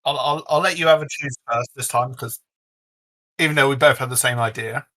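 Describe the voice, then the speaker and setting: A man's voice: medium pitch, brisk, bright, forceful tone. A man co-hosting a casual fan discussion, chiming in briefly and easily. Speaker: co-host.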